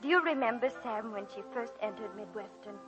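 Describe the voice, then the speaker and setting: An actress playing an earnest, warm and brisk woman college teacher in a 1940s radio drama. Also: fairly high pitch, soft background music, lightly acted. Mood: fond, nostalgic. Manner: earnest, crisp, motherly. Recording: muffled old 1940s radio broadcast.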